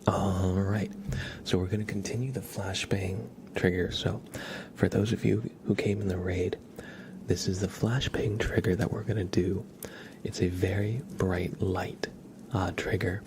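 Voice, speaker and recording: A man hosting an ASMR livestream in a breathy whisper, speaking in a gentle, unhurried, soothing way. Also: fairly even intonation, background noise. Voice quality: breathy, whispered, low creaky voice. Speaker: ASMR live streamer, host.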